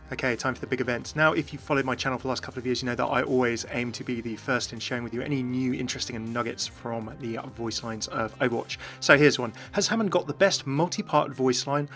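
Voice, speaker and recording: A man's voice: mid-range voice, a bit bright. A man hosting a gaming channel, giving enthusiast commentary as he presents game voice clips. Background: background music.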